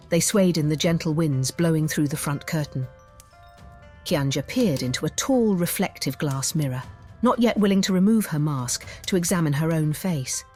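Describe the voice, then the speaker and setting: Female audiobook narrator for fantasy, measured, descriptive delivery with a faint music bed. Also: low-pitched, a little mellow.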